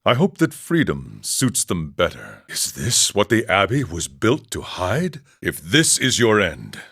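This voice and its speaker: A man voicing an older, serene and wry wandering ascetic monk in a video game, speaking in a solemn, measured, philosophical way. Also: sits low, wildly swooping pitch, a bit bright, slightly rough, heavily acted. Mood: calm, wry. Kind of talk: scripted game character dialogue.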